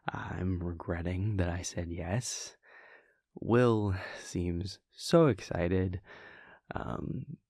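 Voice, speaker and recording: A young man playing a laid-back, wry vampire prince boyfriend in an intimate audio roleplay, telling a story in a hushed, halting, amused way. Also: sits low, slightly rough, no room sound, clean studio sound, exaggerated voice. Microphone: close, dry studio microphone.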